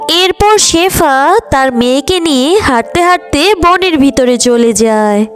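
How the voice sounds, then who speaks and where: A woman's voice: sits high, expressive, a little slow, faint music behind. A woman narrating a children's cartoon story in a scripted storytelling style.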